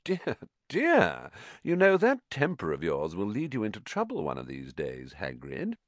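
A man voicing a sneering, smooth aristocrat in an audiobook, with a lazy, smug and menacingly polite manner. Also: fairly low pitch, wildly swooping pitch, dry recording, heavily acted. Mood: smooth, threatening. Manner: unhurried, smug, oily politeness. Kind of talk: audiobook character acting.

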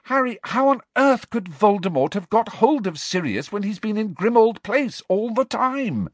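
A man's voice: fairly high pitch, big pitch swings, normal speed, slightly rough, no room sound, pitched above the performer's own voice. A man voicing an anxious, earnest teenage girl witch who pleads and reasons in an audiobook. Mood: anxious, doubtful. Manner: worried, hesitant yet persistent. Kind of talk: audiobook character acting.

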